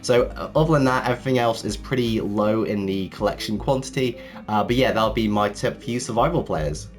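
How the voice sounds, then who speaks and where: A man narrating a video tutorial as its host, his voice a little raspy from a cold, with an upbeat presenter's cadence. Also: on the low side, fairly quick. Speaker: host of a Minecraft build tutorial series. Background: background music.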